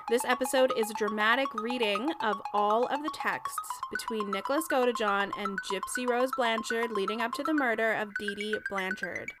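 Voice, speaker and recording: A woman hosting a podcast, delivering scripted narration as the narrator. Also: fairly high pitch. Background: music.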